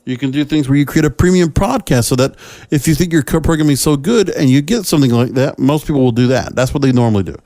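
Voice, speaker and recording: A male podcast host with a mid-pitched voice delivers solo commentary in a dry, close-sounding recording. He talks conversationally and emphatically, speaking straight to his listeners and posing rhetorical questions as he argues his opinions. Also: fast, dark tone, no room sound.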